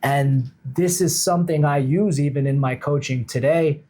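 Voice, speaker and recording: A man's voice: fairly high pitch, moderate pace, warm tone. A man talking casually as an interview guest, explaining his points informally and emphatically like a coach. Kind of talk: casual conversational interview talk.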